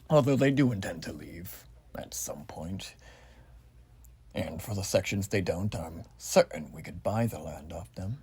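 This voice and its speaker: Man playing a revolutionary army soldier, very deep, slow, breathy half-whisper, polite old-fashioned wording, earnest patriot, ASMR roleplay. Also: darkish tone, exaggerated voice. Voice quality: breathy, often dropping to a whisper. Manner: polite, formal, quaintly old-fashioned phrasing. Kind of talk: soft-spoken ASMR roleplay.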